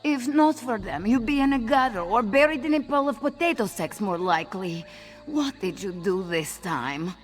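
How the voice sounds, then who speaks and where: A woman's voice: on the high side, expressive, moderate pace, faint music behind, natural voice. A woman voicing a hardworking, long-suffering cat woman in a video game, scolding and exasperated. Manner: scolding, long-suffering, speaks of herself in third person. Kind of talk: AI-voiced video game quest dialogue.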